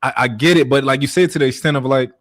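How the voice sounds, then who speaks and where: Soft-spoken, halting man. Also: mid-range voice. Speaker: podcast panelist. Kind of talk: casual discussion.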